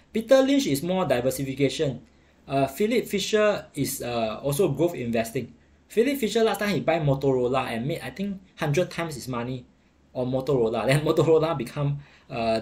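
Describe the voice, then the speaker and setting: A man's voice: mid-range voice, a little mellow. A middle-aged man with a Singaporean accent, chatting casually and colloquially as he hosts a livestream and answers comments. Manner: colloquial, chatty, ends phrases with particles like lah. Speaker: livestream host, stock investing commentator. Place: small indoor room at a computer.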